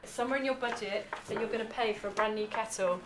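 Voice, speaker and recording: A woman explains quickly in an interview as a participant. Her mid-pitched voice competes with very loud background noise. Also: fairly even intonation.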